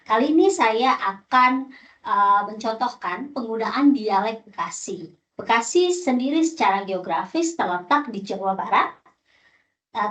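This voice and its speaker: A young woman giving a formal class presentation, pausing with small hesitations. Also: medium pitch, fairly bright tone. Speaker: student presenter. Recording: echoey room, dull lo-fi sound.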